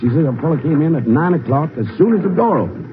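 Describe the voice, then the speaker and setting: Animated, folksy country sheriff played by a man. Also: fairly high pitch, quick pace, natural voice. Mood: eager. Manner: folksy, plainspoken Western talk. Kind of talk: 1950s radio western acting. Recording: tinny, noisy old radio recording.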